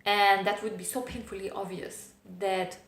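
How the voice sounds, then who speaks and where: A woman's voice: medium pitch, steady tone. Woman with foreign accent, roomy echo. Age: 30s. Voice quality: non-native English speaker with a noticeable foreign accent. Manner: thoughtful, unhurried, with frequent pauses and fillers. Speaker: vlogger. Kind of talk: reflective personal monologue.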